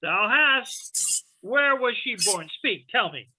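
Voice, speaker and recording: A woman's voice: fairly high pitch, lively intonation, sharp tone, full character voice, poor audio. A woman playing a commanding, imperious sorcerer in a Shakespeare reading, declaiming theatrically to a servant spirit. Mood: scolding, imperious. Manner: slow, stern, theatrical declamation.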